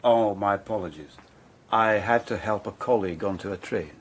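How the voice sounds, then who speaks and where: An actor playing an unruffled, villainous gentleman with polite composure in a radio drama. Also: fairly low pitch, moderate pace. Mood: coolly polite. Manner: polite, sanguine, smooth-talking. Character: suave, unruffled villainous gentleman Edward Hyde.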